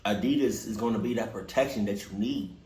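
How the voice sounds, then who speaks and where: A man's voice: on the low side, a little fast, reverberant, a little hiss or hum. A young man hosting a reaction video, making teasing, joking remarks to his viewers. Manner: joking, teasing, animated asides. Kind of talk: casual reaction commentary.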